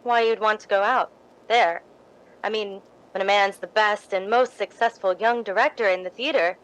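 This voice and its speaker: A woman's voice: medium pitch, fairly even intonation, normal speed, a bit bright, lightly acted, poor audio. A woman as a humble, wistful young theater devotee. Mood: earnest, curious. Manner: modest, hesitant, wistful storytelling. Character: soft-spoken, earnest, seemingly humble young female theater devotee. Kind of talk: recreated 1950s radio drama acting.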